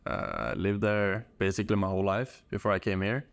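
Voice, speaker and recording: A man's voice: lowish voice, flat delivery, a little fast, vocal fry, clean tone, dry recording. A young man from Norway, chatting casually and informally as an interview guest. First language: Norwegian. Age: young adult. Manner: informal, slangy. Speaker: podcast guest, college soccer player.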